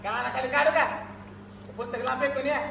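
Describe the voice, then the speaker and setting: A man's voice: extremely high pitch, fairly even intonation, brisk, creaky, pushed and bright, a little hiss or hum, full character voice. A man with a rural Maharashtrian accent acting a bossy, foul-mouthed labour gang foreman in an amateur stage play, barking orders and sarcastic threats. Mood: threatening. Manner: barks orders and taunts. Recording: echoey hall, distant mic, audience and music.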